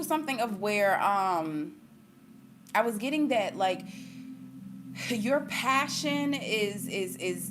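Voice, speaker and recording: An American woman talking casually and emphatically to viewers as she gives an intuitive reading, repeating her points for stress. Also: mid-range voice. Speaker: spiritual reader giving intuitive readings to viewers. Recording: music playing behind the voice.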